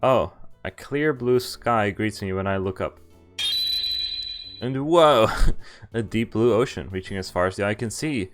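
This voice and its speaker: A man streaming a visual novel, reading its text aloud and chatting in a halting, thinking-aloud way. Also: lowish voice, soft background music.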